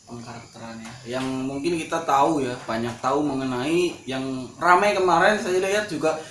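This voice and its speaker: A man's voice: medium pitch, moderate pace, fairly bright tone. Casual joking man in an echoey room. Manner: joking, asks questions, fillers. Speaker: podcast co-host. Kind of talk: casual chat in Indonesian with Javanese phrases. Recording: echoey room, far from the mic, faint noise.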